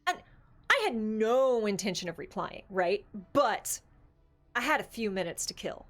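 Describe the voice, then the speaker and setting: A woman co-hosting a casual tabletop gaming discussion, talking in an animated, opinionated, conversational way. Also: mid-range voice, a little slow, soft background music. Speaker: podcast co-host.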